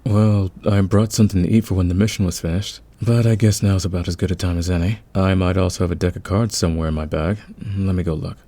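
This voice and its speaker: A man plays an easygoing thief in an audio roleplay, curious about his teammates. His voice is very low and warm, with a relaxed, conversational and encouraging delivery. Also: quick pace, few pauses. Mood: easygoing, concerned.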